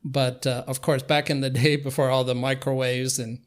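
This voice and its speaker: An older man from Cuba, a native Spanish speaker, reminiscing conversationally as an interview guest with halting phrasing and frequent pauses. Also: medium pitch, little pitch movement.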